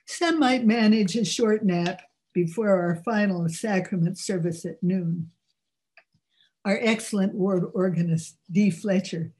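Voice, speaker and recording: An older woman with a low voice, speaking earnestly and at a measured pace as she delivers a religious talk. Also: mellow.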